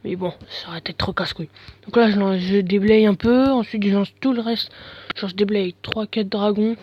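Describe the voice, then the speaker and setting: Male gameplay commentator, congested from a cold, breathy, slangy and offhand. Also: high-pitched, steady tone, brisk, dull audio. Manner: mumbling, slangy, full of fillers. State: has a bad head cold. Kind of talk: casual game commentary.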